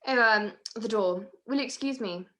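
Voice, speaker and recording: A woman's voice: fairly high pitch, moderate pace, noisy background, lightly acted. A woman playing an evasive, falsely innocent married lady in a detective radio play, polite and coy.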